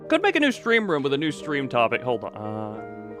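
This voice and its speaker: A man's voice: mid-range voice, huge pitch swings, normal speed. A man livestreaming with casual chat, muttering and thinking aloud in a distracted way. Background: background music.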